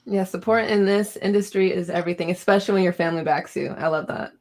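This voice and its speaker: A woman's voice: lowish voice, fairly even intonation, quick pace, darkish tone. A woman hosting a casual interview, asking friendly, encouraging questions. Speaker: interviewer host.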